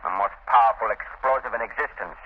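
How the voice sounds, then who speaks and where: Ruthless gang boss for a vintage radio crime play: hard, commanding, menacing, with a thin, narrowband 1930s sound. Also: male voice, lowish voice, brisk, slightly rough. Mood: gloating, menacing. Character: ruthless male crime boss plotting a reign of terror. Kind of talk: 1930s radio drama acting.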